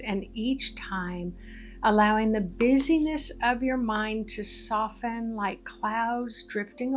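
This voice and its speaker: Slow-paced woman guiding a meditation over music. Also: medium pitch. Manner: gentle, deliberate, pausing between short phrases. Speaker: guided meditation teacher. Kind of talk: guided meditation, soothing narration. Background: background music.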